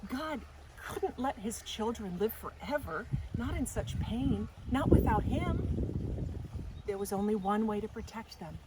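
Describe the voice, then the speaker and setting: A woman reading a children's story aloud as a storytime host, with deliberate, dramatic expression. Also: fairly high pitch, animated. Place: outdoors in a garden. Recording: faint outdoor noise, birds or animals. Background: faint outdoor ambience.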